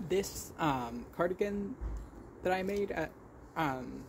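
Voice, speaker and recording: A man's voice: sits high, lively intonation, noisy background. A man chatting casually as a vlog host, with a stutter that repeats and blocks sounds, often correcting himself as he goes. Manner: halting, self-correcting. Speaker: vlog host showing crochet work. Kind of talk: casual podcast-style chat.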